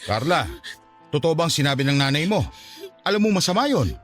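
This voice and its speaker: An actor playing a patient, henpecked father who gently reasons and placates in a radio drama. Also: a little high, animated, brisk, soft background music. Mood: concerned, placating.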